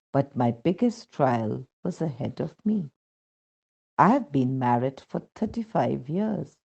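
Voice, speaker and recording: A woman with an Indian accent narrates a devotional testimonial in a low, mellow voice. She takes her time, reading in a measured storytelling way with expressive rises and falls. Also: vocal fry, husky. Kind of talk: scripted devotional narration, read aloud. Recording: dull, muffled lo-fi sound.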